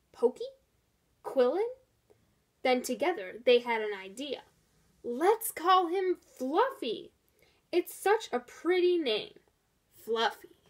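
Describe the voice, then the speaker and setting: Female storybook narrator, high-pitched, very measured pace, expressive read-aloud for children. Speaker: storybook reader.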